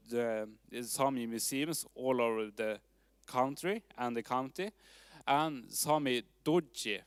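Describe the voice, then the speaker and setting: Man, Norwegian accent, public presentation, medium pitch, smooth resonant voice, dry close sound. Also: no room sound. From Norway. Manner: measured, with frequent fillers and restarts. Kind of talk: presentation talk. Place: town hall forum.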